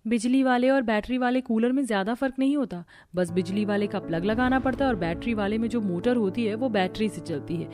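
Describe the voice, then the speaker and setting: A woman's voice: medium pitch, close to an everyday voice. A woman voicing an earnest, practical woman of a poor household in a family cartoon, explaining things. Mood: thoughtful. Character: poor, practical mother-in-law and resourceful daughter-in-law. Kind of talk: Hindi family cartoon acting.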